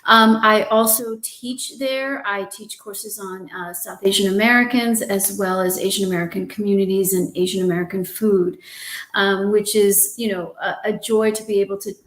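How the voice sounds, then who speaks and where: A woman's voice: mid-range voice, fairly even intonation, moderate pace, fairly bright tone, echoey room, rough recording. A woman professor introducing herself on a panel, polite and speaking with frequent "um"s. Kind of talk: panel discussion.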